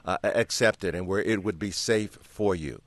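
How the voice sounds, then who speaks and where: A man hosting a public radio call-in show, asking his questions in a measured, deliberate way from a studio microphone. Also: low-pitched, no room sound. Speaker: radio talk show host.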